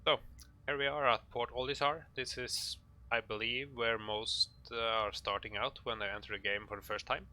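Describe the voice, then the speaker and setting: A man with a Norwegian accent giving an informal game tutorial in English, speaking hesitantly in halting phrases. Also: medium pitch, steady tone, bright, forceful tone. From Norway. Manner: hesitant, halting delivery with pauses. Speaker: video tutorial narrator.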